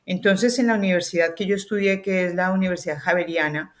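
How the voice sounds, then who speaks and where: A woman with a Colombian accent, a communication coach, talking through her work in a relaxed podcast interview with the odd hesitant filler. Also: on the low side, fast talker. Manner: articulate, explanatory, with hesitant fillers.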